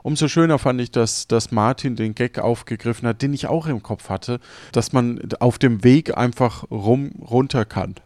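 A man's voice: medium pitch, moderate pace, hardly any pauses, a little mellow, dry recording, clean studio sound. A man hosting a podcast, chatting casually and informally. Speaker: podcast host. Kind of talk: casual podcast chat about an improvised audio adventure.

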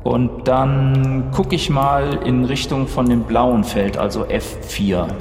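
A man's voice: mid-range voice, steady tone, slow pace, darkish tone. A man speaking casually as a player in a tabletop role-playing game, improvising and describing his moves. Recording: echoey reverberant room with audible noise.

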